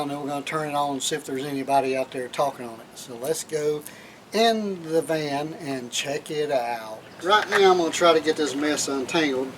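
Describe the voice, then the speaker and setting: A man's voice: a little high, slight background noise, poor audio. A man giving a casual, plain-spoken hands-on how-to as a vlog host, often repeating his phrases. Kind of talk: casual how-to demonstration, talking while working hands-on. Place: in and around a minivan.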